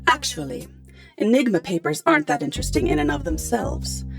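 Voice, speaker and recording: An actress playing a poised, articulate professor in a radio drama, explaining things in a measured, polite way. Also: female voice, medium pitch, big pitch swings, faint music behind. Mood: explanatory. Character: poised, articulate female professor hiring a detective.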